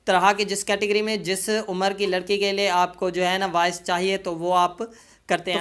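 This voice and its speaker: A tutorial host speaks at a middling pitch in a casual how-to style. The narration goes step by step and addresses viewers as friends, with habitual filler phrases throughout. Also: fairly bright tone, clear, resonant tone.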